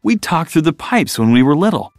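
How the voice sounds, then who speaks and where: A man's voice: fairly high pitch, very animated, brisk, no room sound, studio quality, soft background music, voice pushed up. A man voicing a friendly young female whale shark in a storybook, with a chummy manner. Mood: friendly, eager.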